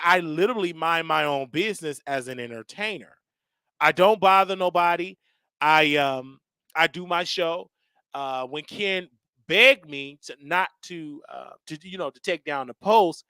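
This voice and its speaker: A man in his thirties hosting a casual livestream, ranting in loud, repetitive bursts with sudden shouts. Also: a little high, no room sound. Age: 30s. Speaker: show host.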